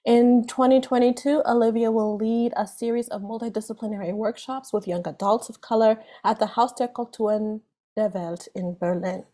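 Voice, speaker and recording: A woman hosting an online event, reading a prepared introduction of a guest author at a brisk pace. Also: on the high side, steady tone, dark tone. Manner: brisk, reads a prepared text.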